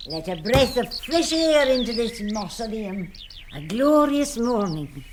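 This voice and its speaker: A woman playing a brisk, unsentimental older housekeeper in a radio drama, dry and matter-of-fact. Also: a little high, very animated, background noise. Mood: cheery, brisk.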